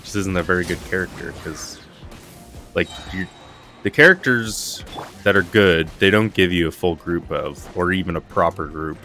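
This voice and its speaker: Low-voiced man casually commenting on a game. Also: normal speed, pushed and bright. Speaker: gaming video host. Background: game music.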